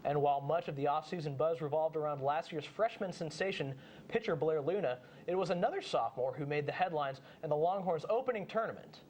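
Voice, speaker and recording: A young man with a slightly high-pitched voice hosts a sports preview. He delivers his commentary with occasional restarts and stumbles. Faint noise sits behind his voice. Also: fairly quick, hardly any pauses, darkish tone. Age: young adult. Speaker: sports show host.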